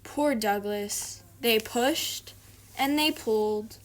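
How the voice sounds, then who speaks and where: Woman, slightly high voice, children's storybook narration, read-aloud host. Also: slow pace. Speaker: storybook reader, video host.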